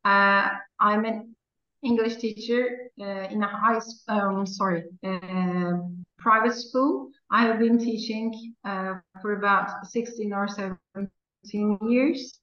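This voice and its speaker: A woman's voice: medium pitch, fairly even intonation, slow, fairly bright tone. A woman from Turkey, an English teacher, introducing herself on a video call and correcting herself as she goes. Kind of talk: casual self-introduction. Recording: echoey, dull video call audio.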